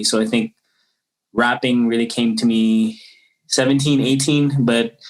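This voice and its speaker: Low-voiced Filipino man in his twenties. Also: far from the mic, rough recording. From Philippines. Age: 20s. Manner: rambling, many fillers and restarts. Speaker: rapper guest. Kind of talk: casual interview.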